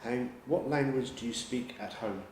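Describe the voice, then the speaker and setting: A man acting as examiner in a mock speaking test, asking questions calmly and reading out instructions in a measured way. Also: medium pitch. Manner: calm, reassuring, measured questioning. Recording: roomy echo with background noise.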